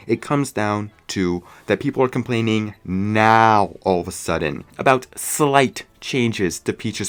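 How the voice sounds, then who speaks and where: Animated low-voiced man delivering a review rant. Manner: emphatic, sarcastic, ranting. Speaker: video reviewer. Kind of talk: opinionated scripted review narration.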